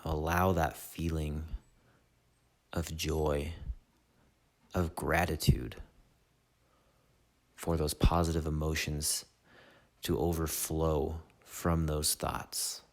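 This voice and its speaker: A man leading a guided meditation in a soothing, measured way, with long pauses between short phrases. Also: extremely low pitch, level tone. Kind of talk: slow guided meditation narration.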